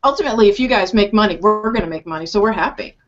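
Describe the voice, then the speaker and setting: A woman from the northeastern United States, a virtual assistant, speaking in a brisk, blunt and assertive way on a video call. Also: mid-range voice, over music. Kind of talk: casual video call discussion. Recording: dull video call audio with faint noise.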